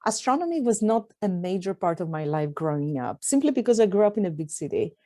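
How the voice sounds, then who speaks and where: A middle-aged woman from Greece with a Greek accent, answering interview questions thoughtfully with pauses and restarts. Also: on the low side, very animated, mellow. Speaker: guest astronomer.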